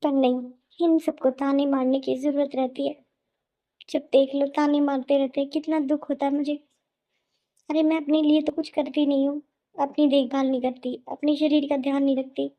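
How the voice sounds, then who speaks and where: A woman's voice: sits high, level tone, normal speed, muffled recording. A woman voicing a weary, hurt young daughter-in-law who pleads plaintively in a melodramatic cartoon. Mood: sorrowful, aggrieved. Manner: plaintive, pleading, self-pitying. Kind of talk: melodramatic Hindi cartoon voice acting.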